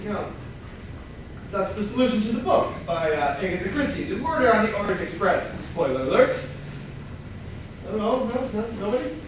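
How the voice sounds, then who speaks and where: A man playing an earnest, halting, pedantic amateur detective in a staged comedy reading. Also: high voice, big pitch swings. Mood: earnest, fussy. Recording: very echoey room, distant mic, clear noise.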